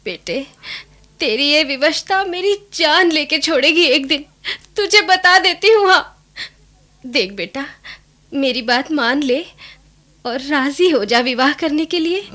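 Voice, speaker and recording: A woman playing an emotional, doting old grandmother in a radio drama, with slow, pleading delivery. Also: sits high, lively intonation, sharp tone, full character voice. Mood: tearful pleading. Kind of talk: Hindi radio drama acting.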